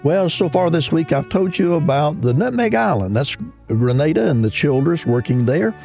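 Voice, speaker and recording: A man hosting a short religious radio program, reading a missionary's story aloud in a steady, measured way. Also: on the high side, gravelly, faint music behind. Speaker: radio ministry host.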